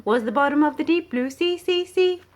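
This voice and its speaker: A woman's voice: sits high, lively intonation, moderate pace, pitched above the performer's own voice. A woman voicing a sleepy young male singer from a storybook who turns eager, exclaiming in a sing-song way. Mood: singing playfully.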